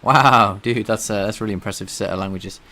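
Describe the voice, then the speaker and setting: A man's voice: on the low side, fast talker, bright, forceful tone. A man streaming himself coding, thinking aloud and chatting with viewers. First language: English. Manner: thinks aloud while coding, answers chat. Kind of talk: casual live-coding stream chat.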